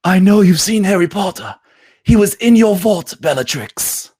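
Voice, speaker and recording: A man voicing a cold, cruel dark wizard villain in a breathy, rasping, sinister and taunting voice. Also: sits high, expressive, normal speed, voice pushed up. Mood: cold menace.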